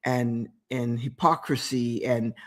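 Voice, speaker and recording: A woman's voice: rumbling low, fairly even intonation, slow pace. A woman hosting a motivational talk show, retelling a story with emphatic repetition and speaking directly to the listener. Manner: emphatic, repeats words for effect, addresses listener directly; storytelling with quoted inner thoughts. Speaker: host.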